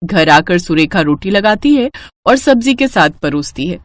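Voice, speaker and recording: Animated woman storyteller. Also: fairly low pitch, moderate pace, a little hiss or hum. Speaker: narrator. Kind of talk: scripted children's story narration.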